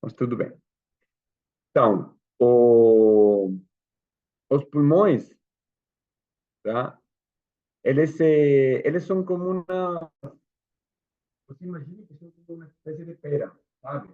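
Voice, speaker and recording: A man's voice: a little high, unhurried, pauses often. A man from Chile with a Chilean Spanish accent, speaking Portuguese as an instructor, giving a casual live lecture and asking his small audience questions. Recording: echoey room, phone-like sound.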